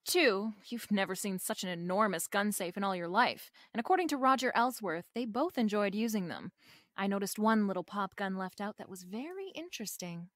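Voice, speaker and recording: A wry female private eye from a radio detective drama, played by an actress. She is confident and slangy, wisecracking in a mid-pitched voice. The sound is close and dry. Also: expressive, a little fast, a bit bright, no room sound, lightly acted. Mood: wry, explaining.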